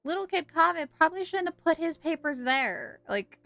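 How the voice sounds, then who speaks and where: A woman's voice: extremely high pitch, moderate pace, gravelly, a bit bright, no room sound. A woman livestreaming a game, reacting casually in a chatty, playful, giggly way.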